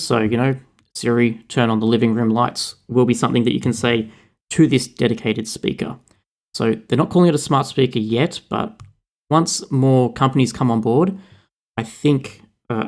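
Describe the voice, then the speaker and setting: Australian man explaining tech news. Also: mid-range voice. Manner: fluent, explanatory. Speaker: podcast co-host. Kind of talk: casual tech chat.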